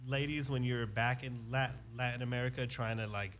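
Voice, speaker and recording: A man's voice: medium pitch, steady tone, a little fast, fairly bright tone, slight background noise. A man hosting a casual radio interview, chatty and prone to restarts and easy laughter. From Afghanistan.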